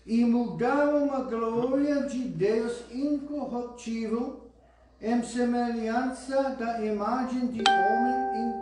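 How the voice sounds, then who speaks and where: A man preaching a sermon in Portuguese with a foreign accent, reading scripture slowly and deliberately with small restarts. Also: very high-pitched, darkish tone. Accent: English-speaking foreigner speaking Portuguese. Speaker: pastor. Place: church hall. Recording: roomy church hall, voice from far off.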